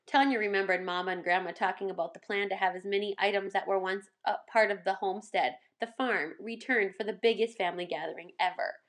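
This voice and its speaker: Female storyteller, medium-pitched, expressive narration for a children's picture book. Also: fairly quick, hardly any pauses.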